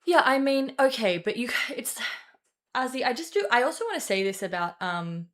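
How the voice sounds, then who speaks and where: A young woman hosting a casual political commentary show, talking in a rambling way with swearing and quick self-corrections. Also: a little high, lively intonation, fairly bright tone. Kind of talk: casual opinion commentary to camera.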